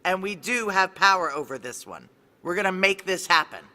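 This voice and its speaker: Security chief, female, noir radio play. Tough, wry, clipped, low forceful voice. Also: lively intonation, moderate pace. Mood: firm, commanding. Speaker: lead actor and narrator. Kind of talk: noir audio drama narration and dialogue.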